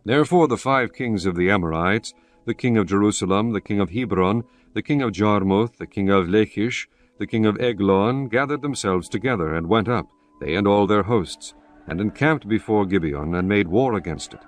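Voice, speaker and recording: A man narrating scripture in a measured, solemn way. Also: on the low side, faint music behind.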